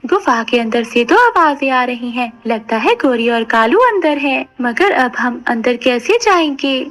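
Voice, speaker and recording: A woman's voice: high voice, lively intonation, a little slow, a bit bright. A woman voicing a sensible, helpful female little bird in a children's cartoon. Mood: curious. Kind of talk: Hindi children's cartoon dialogue.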